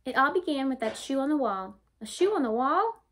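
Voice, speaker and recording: A woman in her twenties reading a picture book aloud to young children, expressive and speaking to them directly. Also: high voice, fairly quick, slight background noise. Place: small room indoors.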